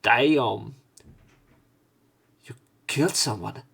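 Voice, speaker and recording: A man doing a let's play, reading a visual novel aloud with expressive dialogue and casual, blunt commentary. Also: medium pitch, very slow, creaky, slightly rough. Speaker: let's player. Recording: game music behind voice. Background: game music.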